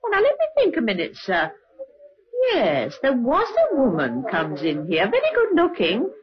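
A woman's voice: medium pitch, wildly swooping pitch, moderate pace, slightly rough, exaggerated voice. A woman playing a gossipy, deferential working-class waitress in an old radio drama, musing and hesitating as she talks. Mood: chatty, musing. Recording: dull old radio recording.

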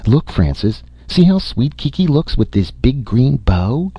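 A man voicing a haughty, irritable young mother with a brisk, sharp manner in an audiobook. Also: mid-range voice, sing-song, slightly rough, no room sound, soft background music, voice pushed up, exaggerated voice. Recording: muffled audio.

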